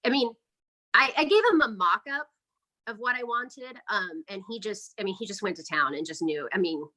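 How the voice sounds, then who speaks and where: A woman's voice: mid-range voice, moderate pace, sharp tone. A woman speaking casually and enthusiastically as the guest in an interview about her indie projects. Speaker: interview guest, indie horror filmmaker and podcaster. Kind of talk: casual promotional interview chat.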